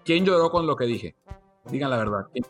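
Middle-aged male sports host from Venezuela; loose, joking delivery over soft music. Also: mid-range voice, expressive, a little fast. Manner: jokey, chatty, many fillers. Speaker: podcast host, sports journalist. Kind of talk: casual chat.

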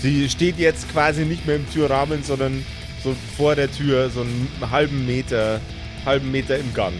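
A man's voice: medium pitch, steady tone, moderate pace. A man narrating an improvised tabletop game as game master. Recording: music behind the voice.

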